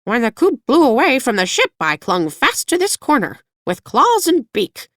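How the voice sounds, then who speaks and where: A woman voicing a fussy, proper yellow hen in a storybook reading, with a prim, confiding manner. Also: sits high, huge pitch swings, normal speed, dry recording, studio quality, pitched above the performer's own voice, full character voice. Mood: prim chatter. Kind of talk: audiobook character voice.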